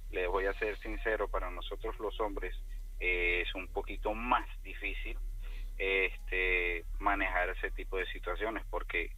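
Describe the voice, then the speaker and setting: Guy calling into radio, bright forceful low voice, polite but keeps hesitating. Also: level tone, measured pace, poor audio. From outside Spain. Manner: hesitant, courteous, earnest. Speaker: radio show caller. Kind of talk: call-in opinion. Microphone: phone call.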